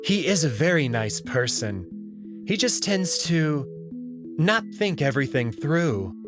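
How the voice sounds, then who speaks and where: A man voicing a polite, discreet young alien servant with a courteous, measured and gently candid manner, in a visual novel. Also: a little high, very animated, music in the background. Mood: diplomatic. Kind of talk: visual novel character read-through.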